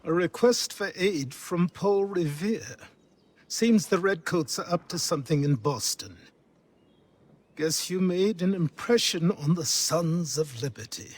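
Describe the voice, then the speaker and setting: An actor playing a stern, weary mentor who speaks in a measured, grave way. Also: male voice, fairly high pitch, expressive. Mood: dry.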